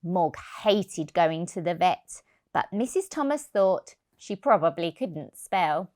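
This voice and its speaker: A woman reading a picture book to young children, expressive and deliberate, stressing key words. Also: on the low side. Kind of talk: storybook narration for young children.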